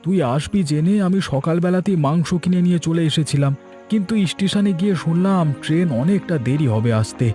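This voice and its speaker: A man voicing an excitable, doting middle-aged village father in a ghost cartoon. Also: sits high, brisk, faint music behind, lightly acted. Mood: eager. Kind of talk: cartoon voice acting.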